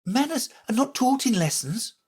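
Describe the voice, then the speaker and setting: A woman's voice: on the high side, sing-song, normal speed, a little mellow, slightly rough, voice pushed up. A woman voicing a polite, earnest little storybook girl who speaks in a careful, grave, well-mannered way. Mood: polite, unsure.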